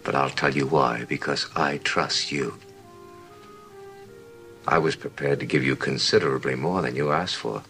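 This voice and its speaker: A man's voice: extremely low pitch, normal speed, creaky, pauses often, pushed and bright, faint music behind, full character voice. An actor playing a suave, manipulative, flirtatious man in an audio drama. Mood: wounded. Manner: suave, coaxing. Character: smooth-talking, manipulative, flirtatious male schemer.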